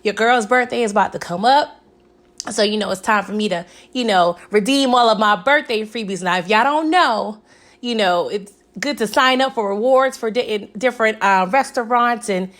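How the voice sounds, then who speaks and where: Raspy, chatty young woman vlogger. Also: on the high side, normal speed, a bit bright. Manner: chatty, keeps asking "okay?". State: hoarse from recent illness. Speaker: TV recap vlogger. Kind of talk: casual recap chat.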